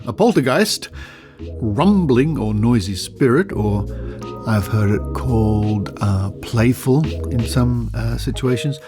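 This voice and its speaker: A man's voice: mid-range voice, darkish tone. An Australian man hosting a podcast, reading aloud with asides and theatrical emphasis. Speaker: podcast host.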